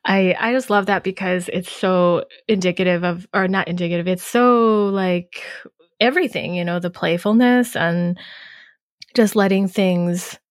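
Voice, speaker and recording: A woman hosting a podcast, warmly affirming her guest with soft murmurs and gentle enthusiasm. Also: fairly low pitch, a little slow, no room sound, clean studio sound. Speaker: podcast host. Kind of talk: relaxed spiritual conversation.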